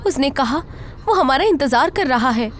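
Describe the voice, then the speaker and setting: A woman's voice: high voice, expressive, moderate pace, a little hiss or hum, voice pushed up. A woman voicing a frightened young wife in a horror story, earnest, anxious and insistent. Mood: insistent, fearful. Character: frightened, haunted young wife grieving a lost child. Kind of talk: audio horror story acting.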